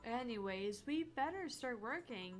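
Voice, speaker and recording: A woman voicing a dry, weary, deadpan bartender in a cyberpunk visual novel. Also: fairly high pitch, a little slow.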